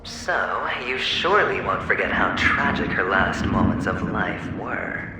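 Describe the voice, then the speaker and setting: Game villain-self: mocking, theatrical young man, slow swaggering delivery, heavily reverberant. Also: deep voice, expressive. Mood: needling. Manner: mocking, drawn-out, sing-song swagger with sighs and chuckles. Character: taunting, theatrical inner self of a young male gambler. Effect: echoing reverb on the voice. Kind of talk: video game voice acting. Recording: echoing game cutscene with music. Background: music.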